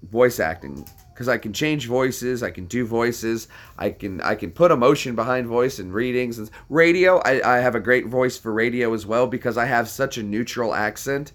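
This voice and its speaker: A man's voice: medium pitch, normal speed, few pauses, a bit bright. A young American man chatting casually to viewers, answering questions in a rambling, self-deprecating way with lots of fillers. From United States. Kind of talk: casual chat.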